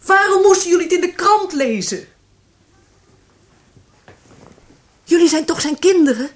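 A woman playing an anxious, insistent middle-aged mother in a radio drama, speaking haltingly and with feeling. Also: sits high. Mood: anguished. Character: anxious middle-aged mother defending her troubled son. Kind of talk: Dutch radio drama acting.

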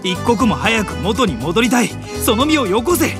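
A man's voice: high-pitched, big pitch swings, normal speed, music in the background. A man voicing a serious older brother who speaks stiffly and gets irritated easily, in a drama CD. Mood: exasperated. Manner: stiff, formal, exasperated. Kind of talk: anime drama CD acting.

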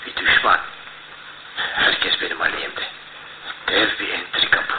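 A male actor playing a paranoid, downtrodden suspect in a radio drama, agitated, halting and defensive. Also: fairly low pitch, lightly acted. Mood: fearful, aggrieved. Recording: echoey, tinny, noisy old radio recording.

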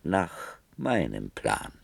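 An actor playing a grave, knowing war chief in a German radio play, speaking in a solemn, measured and quietly challenging way. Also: male voice, low-pitched, wildly swooping pitch, creaky, husky, no room sound, full character voice.

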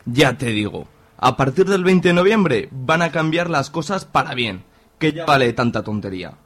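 A man's voice: mid-range voice, expressive, moderate pace, fairly bright tone. A man acting a coarse, nosy middle-aged conservative father with a Spanish accent in a radio drama. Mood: nosy, agreeing. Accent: Spain. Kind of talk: colloquial radio drama dialogue.

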